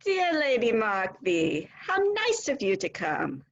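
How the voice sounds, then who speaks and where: Woman playing proper, animated Victorian hostess, dull sound. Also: fairly high pitch, slow pace, heavily acted. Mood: polite, reserved. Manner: formal, polite. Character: earnest, proper, reserved Victorian wife and hostess. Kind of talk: stage drama dramatic reading.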